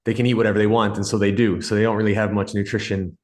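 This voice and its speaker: A man's voice: lowish voice, flat delivery, very fast. A man in his thirties from Ireland, with an Irish accent mixed with American, talking casually as a podcast guest about his work in sports nutrition. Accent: Irish with some American. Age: 30s. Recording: echoey room.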